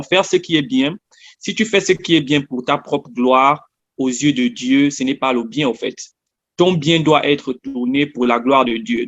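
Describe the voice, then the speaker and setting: A man with a Gabonese accent shares a personal testimony in conversation. His voice sits at a middle pitch and he often restates a phrase to stress it. The sound is dry and somewhat dull, like lo-fi online audio. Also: no echo. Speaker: interview guest giving testimony.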